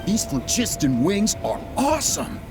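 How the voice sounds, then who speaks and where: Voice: medium pitch, huge pitch swings, unhurried. An earnest youngster from a video game, with widely varied intonation. The phrasing is tentative and questioning, and music plays faintly behind. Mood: excited. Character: earnest, doubtful young trial candidate.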